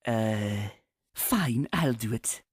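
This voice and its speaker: A woman voicing a brooding female zebra who speaks in measured rhymes for a cartoon comic dub. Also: extremely low pitch, animated, husky, no room sound, clean studio sound, exaggerated voice. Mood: reluctant. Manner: measured rhyming speech. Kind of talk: fan comic dub voice acting.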